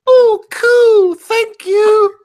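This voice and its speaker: A woman's voice: very high-pitched, steady tone, extremely slow, a little mellow, exaggerated voice. A woman playing an eager, whiny, impatient little girl in a comic radio play. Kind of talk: comic live radio drama.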